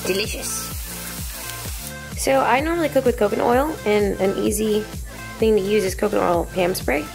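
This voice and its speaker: A woman's voice: mid-range voice, moderate pace, gravelly, over music. A young woman in her twenties giving chatty meal-prep tips in her home kitchen, speaking into a handheld camera. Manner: bubbly, teasing, explains in a chatty way. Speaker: fitness vlogger. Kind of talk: casual vlog chat and meal-prep tips.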